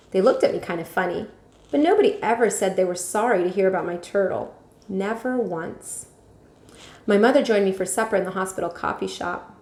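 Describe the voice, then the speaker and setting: A woman's voice: medium pitch, darkish tone. Woman reading a storybook, echoey room. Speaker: teacher reading aloud. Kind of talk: storybook read-aloud narration.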